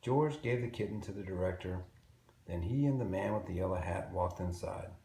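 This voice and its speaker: Guy doing storytime for little kids, lowish creaky voice, expressive, bit of room echo. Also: moderate pace. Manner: simple, expressive storytelling with asides and questions. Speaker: children's book read-aloud storyteller. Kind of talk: storybook narration for young children. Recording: roomy echo with faint background noise.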